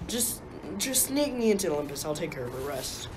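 A woman's voice: deep voice, very animated, a little fast, noisy background. Earnest young-sounding god a woman acting. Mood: pleading. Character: earnest, pleading male god. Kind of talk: radio play acting.

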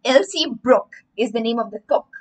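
A young woman, telling a story to children as a livestream English lesson, theatrical and emphatic, pausing to explain words. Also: fairly high pitch, a little mellow. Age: young adult. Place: indoor room with bookshelves.

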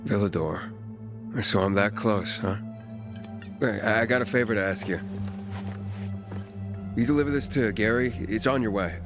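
A man's voice: lowish voice, normal speed, pauses often, close to an everyday voice. A man playing a guarded, easygoing young pilgrim in a post-apocalyptic video game, casual and understated with dry teasing. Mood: earnest, thoughtful. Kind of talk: naturalistic video game dialogue acting. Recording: music under the dialogue.

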